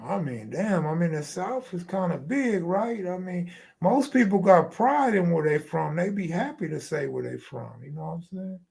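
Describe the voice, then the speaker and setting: An American man hosts a casual live stream. His voice sits on the high side and is full of gravelly creak. He talks in loose, streetwise slang and swears freely. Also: animated, normal speed, hardly any pauses, darkish tone.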